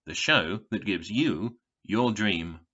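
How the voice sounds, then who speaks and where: An actor playing a smug, taunting television game show announcer with glib, theatrical, condescending patter in an audio drama. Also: male voice, fairly low pitch, lively intonation, slow pace, pushed and bright, dull audio, full character voice. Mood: slick, teasing.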